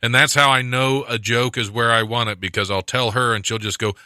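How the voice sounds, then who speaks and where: A man's voice: mid-range voice, steady tone, brisk, pushed and bright, smooth, dry recording. A man hosting a radio show from a studio, chatting casually, laughing often and joking at his own expense. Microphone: studio microphone.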